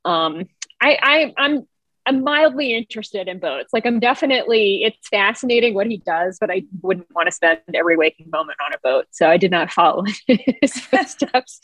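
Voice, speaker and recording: A woman's voice: mid-range voice, a bit bright, dry recording, rough recording. A woman from the New Orleans area, a guest on a podcast, talking casually and rambling with frequent laughs. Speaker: guest, designer and paper engineer. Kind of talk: casual conversation.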